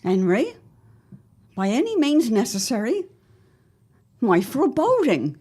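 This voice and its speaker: A woman acting an anxious, fanciful farm wife of the early 1900s in an audio drama, with formal, dramatic diction and a husky voice. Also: on the low side, wildly swooping pitch, measured pace, full character voice. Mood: ominous dread.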